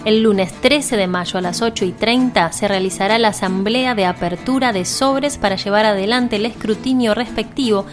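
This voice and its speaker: Female Argentine radio co-host, medium pitch, magazine talk and announcements over light music. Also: moderate pace, few pauses. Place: radio studio.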